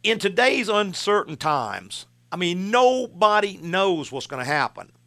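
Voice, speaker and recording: A man's voice: high voice, sing-song, measured pace, sharp tone. A man, hosting a call-in car advice show in a folksy, plain-spoken way. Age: 60s. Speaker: radio show host and auto repair shop owner.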